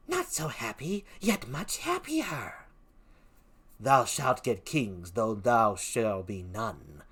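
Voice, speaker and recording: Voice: mid-range voice, fairly bright tone, breathy edge, exaggerated voice. A reader voicing the weird sister witches, chanting spells with sing-song swings and sudden whispers. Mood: ominous greeting.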